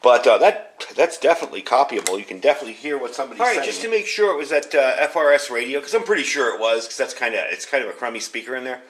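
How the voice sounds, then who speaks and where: A man's voice: mid-range voice, animated, rapid-fire, hardly any pauses, a bit bright, slight background noise. A man giving a casual hands-on gear review indoors, explaining things in a rambling, informal way. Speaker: product reviewer. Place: indoors at home.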